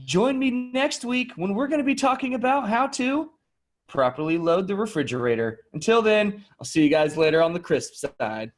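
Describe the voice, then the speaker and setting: Polite, slightly awkward male show host, played by a man, higher-pitched, showy sign-offs, improv comedy. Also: big pitch swings, normal speed. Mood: upbeat.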